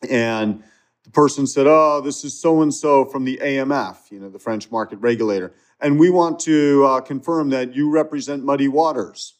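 A man tells a story as an interview guest, his voice sitting in an ordinary middle register. He talks casually, with plenty of fillers and restarts, and gets lively as he acts out the exchanges. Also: medium pitch, moderate pace. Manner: conversational, many fillers and restarts, animated retelling.

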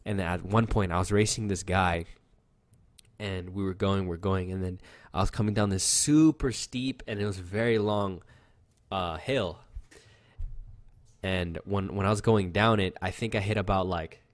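A man's voice: low voice, unhurried, no echo. A young man co-hosting a podcast, telling loose, rambling stories full of fillers and swearing, with a voice that sounds youthful. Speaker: podcast co-host. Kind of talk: casual chat.

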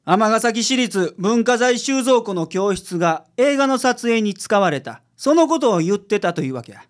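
A man's voice: high-pitched, expressive, normal speed. A man playing an unflappable, easygoing private detective with a Kansai accent, explaining things confidently in a radio drama. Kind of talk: community radio drama acting.

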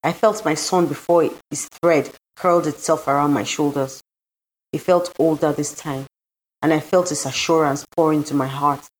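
A woman with a low voice narrates a literary story. Her reading is scripted, solemn and dramatic. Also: steady tone, moderate pace, low-quality recording. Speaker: story reader.

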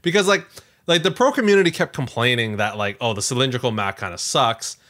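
A man's voice: mid-range voice, fairly quick. A man co-hosting a podcast in casual tech chat, talking in a conversational way peppered with "like" and "you know". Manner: animated, conversational, filler-heavy with frequent "like" and "you know". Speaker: podcast co-host.

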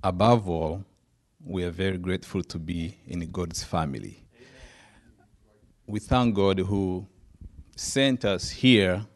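Deep-voiced man from Rwanda. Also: takes it slowly, long pauses. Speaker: missionary guest. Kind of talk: church testimony.

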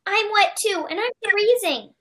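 A woman's voice: very high-pitched, lively intonation, measured pace, pushed and bright, heavily acted. A woman playing an earnest young schoolgirl friend in an amateur audio play. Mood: alarmed, upset.

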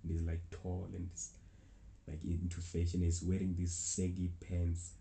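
Deep gravelly South African man. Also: completely flat delivery, moderate pace, dark tone, a little hiss or hum. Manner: rambling, restarts often, chuckles. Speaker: travel vlogger. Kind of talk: casual storytelling.

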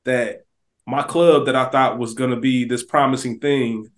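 Animated young man retelling a scene. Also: medium pitch, normal speed. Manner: animated storyteller, acts out characters' thoughts. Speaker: podcast co-host. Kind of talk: casual chat.

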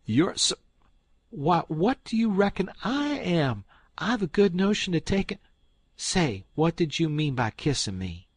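A man voicing a fussy, quick-tempered elderly farm wife from the South, shrill and scolding. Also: animated, darkish tone, husky, no echo. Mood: indignant.

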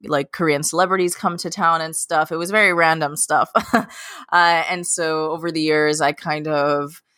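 A woman's voice: sits low, fairly even intonation, moderate pace, a bit bright, no room sound, studio quality. A woman in her late twenties, talking as a guest in a casual interview, chatty and rambling with lots of fillers and restarts. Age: late 20s. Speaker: guest, K-pop journalist.

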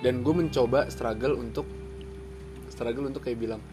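A man's voice: medium pitch, fairly even intonation, moderate pace, over music. A young Javanese man co-hosting a casual podcast, slangy and swearing often. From Java. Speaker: podcast co-host. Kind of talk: casual chat.

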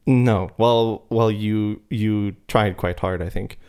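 A young man teases his co-host in a dry way during a casual podcast. His low voice often fires off the same word several times, and the recording is made at his apartment. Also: steady tone, takes it slowly, darkish tone, clear, resonant tone. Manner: dry teasing, repeats words in bursts. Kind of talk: casual chat.

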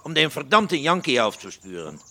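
German soldier, impatient and commanding, gravelly edge, animated, German accent male actor. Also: mid-range voice, brisk, heavily acted. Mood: demanding. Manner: barking orders in mixed German and Dutch. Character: barking, irritable German soldier in occupied Netherlands. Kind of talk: audio drama re-enactment.

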